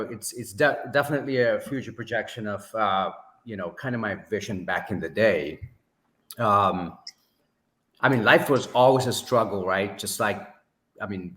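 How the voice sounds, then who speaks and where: A man, telling his story as a podcast guest in a measured way, pausing often with fillers. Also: fairly low pitch, sharp tone. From Sri Lanka. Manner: measured, many pauses and fillers. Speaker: podcast guest, business owner. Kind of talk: casual interview storytelling. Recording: echoey room.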